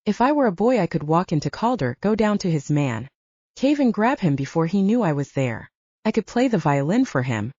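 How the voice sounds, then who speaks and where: A woman with a lowish voice narrates a first-person fantasy story, wry and lively in her phrasing. The recording is dry and close, with a dull lo-fi tone. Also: brisk, dry recording. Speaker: audiobook narrator.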